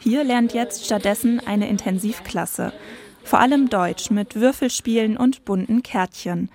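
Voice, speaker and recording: A woman radio reporter narrating a scripted news feature in an even voice-over. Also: on the high side, unhurried.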